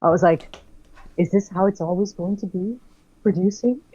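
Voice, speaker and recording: A woman's voice: mid-range voice, moderate pace, mellow, breathy edge, muffled recording. A woman from Jamaica, a filmmaker, telling her story as an interview guest in an earnest way and laughing between phrases. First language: not French.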